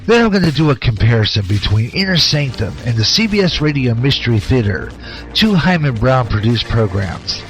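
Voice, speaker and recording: A man's voice: mid-range voice, sing-song, a little fast. A man hosting a radio show, reading a scripted introduction. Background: faint music.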